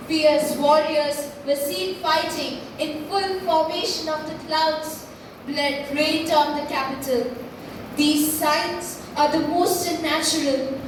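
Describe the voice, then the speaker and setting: A young woman playing a frightened, pleading Roman wife in a school stage play, declaiming dramatically. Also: high-pitched. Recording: distant, very echoey hall, clear noise.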